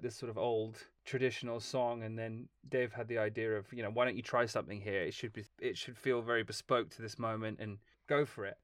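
A man talking as a film composer guest in a casual interview, telling long, lively stories and laughing along the way. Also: mid-range voice, dry recording, studio quality. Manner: animated, rambling storytelling with laughs.

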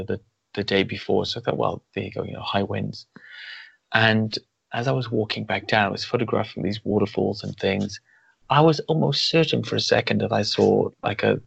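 Gravelly husky-voiced man, podcast host. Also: on the low side, a bit bright, no echo. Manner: thoughtful, rambling, many restarts. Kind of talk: conversational interview. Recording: dull lo-fi sound.

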